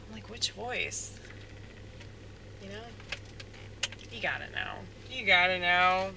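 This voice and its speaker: A man rambling in a soft-spoken, halting way, full of fillers, as a stand-up comedian musing aloud. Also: high-pitched, fairly even intonation, vocal fry, heavy background noise. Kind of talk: rambling casual monologue.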